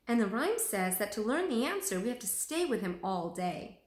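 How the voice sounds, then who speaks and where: Woman playing an eager high-voiced young girl. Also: very animated, normal speed. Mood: curious. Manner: eager, bright-spirited. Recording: roomy echo.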